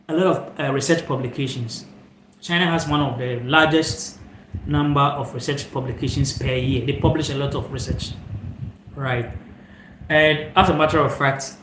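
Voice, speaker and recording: A man's voice: a little high, moderate pace, fairly bright tone. Young man from ghana, channel host, rambling reflective talk, phone mic, echoey room, faint hiss. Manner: rambling, reflective, many fillers and asides. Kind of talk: live-stream talk, musing to viewers. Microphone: phone held sideways.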